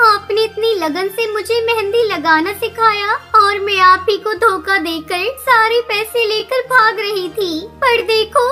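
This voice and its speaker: Young cartoon girl bird, earnest and childlike, extremely high and bright. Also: unhurried, few pauses, heavily acted. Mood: tearful, sorry. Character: young orphaned girl bird, naive and eager. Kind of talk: Hindi cartoon dialogue.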